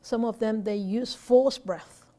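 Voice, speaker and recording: A woman Buddhist teacher answering a student's question off the cuff, halting with frequent fillers and restarts and posing rhetorical questions. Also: fairly high pitch, mellow. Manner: hesitant, many fillers and restarts.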